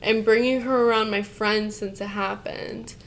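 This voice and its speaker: A woman reads a post aloud at an ordinary middle pitch, in an everyday conversational voice. She keeps cutting in with blunt, casually profane reactions, and she trips over words and restarts as she reads. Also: fairly even intonation, moderate pace, slight background noise. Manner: blunt, swears casually, interrupts the reading with reactions; stumbles and restarts while reading aloud. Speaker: co-host reacting to a Reddit post. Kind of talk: reading a post aloud with commentary.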